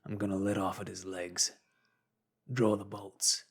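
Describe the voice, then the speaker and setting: A man voicing a calm, resolute bearded man who speaks steadily and bluntly. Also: deep voice, measured pace, husky.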